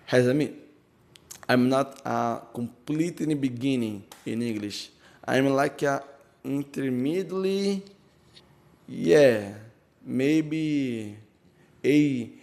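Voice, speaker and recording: Slow, gravelly man. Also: mid-range voice, a little mellow. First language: Portuguese. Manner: halting learner English with restarts and fillers. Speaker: vlogger. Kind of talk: casual English practice vlog. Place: empty room he found with an open door.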